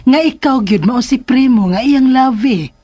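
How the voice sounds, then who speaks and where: An actress playing a hopeful, supportive mother of a grown son in a Filipino radio drama, encouraging and measured, with a Filipino accent. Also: sits high, dark tone.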